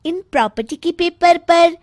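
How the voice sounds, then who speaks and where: A woman's voice: very high voice, a little slow, a little mellow, voice pushed up. A woman voicing a scheming young daughter-in-law with a sly, whiny way of talking. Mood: gloating.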